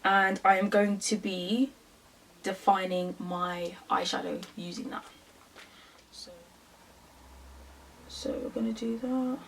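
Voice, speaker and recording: A woman's voice: medium pitch, fairly even intonation, slow pace, gravelly, pauses often, fairly bright tone, recorded from a distance, slight background noise, poor audio. A woman in her twenties from London with a London accent, chatting casually through a makeup tutorial with lots of fillers. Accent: London English. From England. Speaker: beauty vlogger.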